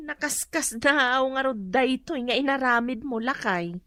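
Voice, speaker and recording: A woman's voice: high voice, slow, sharp tone, dry recording, clean studio sound, exaggerated voice. An actress playing a nagging working woman who pays for everything at home, in a radio drama. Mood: scolding. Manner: nagging, scolding. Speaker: teacher.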